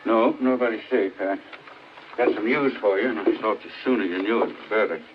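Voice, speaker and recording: An actor playing a small-town doctor, matter-of-fact and measured, in a 1940s film drama. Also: male voice, lowish voice, lightly acted. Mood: businesslike. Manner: measured, plain-spoken. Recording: muffled, hissy 1940s film soundtrack.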